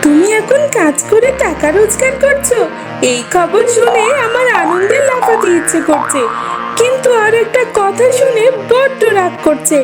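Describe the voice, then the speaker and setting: A woman's voice: extremely high pitch, animated, normal speed, over loud music. A woman voicing a bossy, shrewd fox wife in a comic cartoon, speaking in an emphatic, questioning and commanding way. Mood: suspicious.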